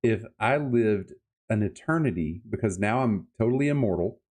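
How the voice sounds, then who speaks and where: A man with a fairly low voice hosts a casual book-review chat. He speaks in an enthusiastic, colloquial way, leaning on his words for emphasis as he shares his opinions. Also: animated, moderate pace, a little mellow. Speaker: podcast host reviewing books.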